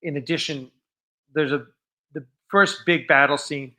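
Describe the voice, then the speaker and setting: A man's voice: on the high side, measured pace, pushed and bright. A man hosting a live stream, chatting casually and off script in a rambling, enthusiastic way.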